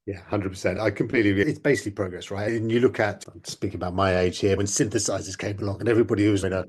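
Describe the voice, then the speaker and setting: Husky guy talking fast and keen on a podcast. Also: lowish voice, fairly even intonation, a little mellow. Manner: enthusiastic, quick, emphatic. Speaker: podcast co-host. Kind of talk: casual chat.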